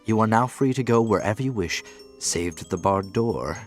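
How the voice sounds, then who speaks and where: A lordly husband acted out by a woman speaks very low, with faint music underneath. He is courteous and formal, yet clearly in command, like the master of the palace in a storybook tale. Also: lively intonation, moderate pace, darkish tone. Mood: warm, commanding. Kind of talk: storybook audiobook character.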